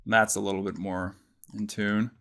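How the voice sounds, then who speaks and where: A man presenting a casual software tutorial, explaining step by step in an informal way. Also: lowish voice, little pitch movement, moderate pace, gravelly.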